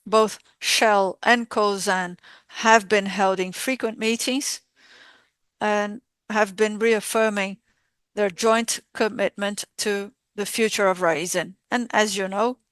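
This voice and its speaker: A woman interpreting live on a formal corporate earnings call, speaking in measured, halting short phrases. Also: medium pitch, steady tone, fairly bright tone, clean tone, no echo.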